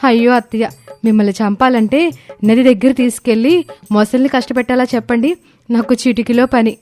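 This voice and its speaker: A woman voicing a miserly young daughter-in-law in a story, a brisk and cheeky schemer with a pert, emphatic delivery. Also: on the high side, steady tone, darkish tone, soft background music, natural voice. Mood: cheeky, exasperated. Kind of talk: animated moral story dialogue.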